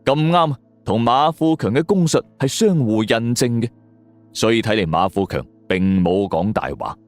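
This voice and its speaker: A man's voice: medium pitch, moderate pace, darkish tone, faint music behind. A man narrating a suspense story in a colloquial, dramatic storyteller style. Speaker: audiobook narrator.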